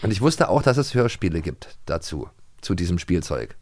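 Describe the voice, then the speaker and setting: A man's voice: low-pitched, sing-song, normal speed. A man chatting casually and jovially as a podcast co-host. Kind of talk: casual chat about audio dramas.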